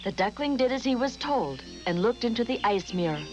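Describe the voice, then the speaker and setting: A woman's voice: a little high, animated, fairly quick, over music. A woman storyteller reading scripted, dramatic narration for a children's fairy tale.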